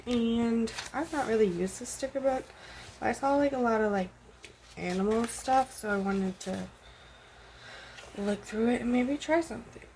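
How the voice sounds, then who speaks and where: A woman's voice: fairly high pitch, moderate pace, darkish tone, slight background noise, rough recording. A woman with a stuffed-up nose, chatting casually and rambling with many ums and likes while she decorates her planner at home. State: stuffed up with a cold. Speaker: planner vlogger. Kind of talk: casual plan-with-me chat.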